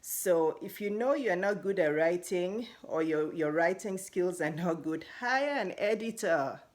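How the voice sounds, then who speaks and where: Low-voiced Nigerian woman coaching. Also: expressive, measured pace. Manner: encouraging, chatty, laughs between phrases. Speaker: life coach. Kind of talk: casual motivational talk to camera.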